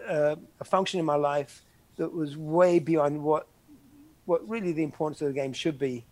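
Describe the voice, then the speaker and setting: Older man, higher voice, reflective. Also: steady tone, darkish tone, dry recording. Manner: halting, many restarts and "you know". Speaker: interview guest, former soccer player. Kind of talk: reflective conversational interview.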